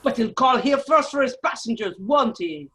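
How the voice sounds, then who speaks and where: A menacing agent of the French Revolution, acted by a woman in a radio drama table read. The voice is fairly high. The delivery is clipped, commanding and coolly formal. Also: expressive, moderate pace, fairly bright tone. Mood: threatening. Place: remote virtual table read.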